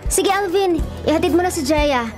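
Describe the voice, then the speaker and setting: A woman's voice: extremely high pitch, animated, moderate pace, darkish tone, faint music behind. An actress with a Filipino accent playing a feisty, protective young woman who plays matchmaker for her best friend, bossy and spirited, in a radio drama. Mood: scolding.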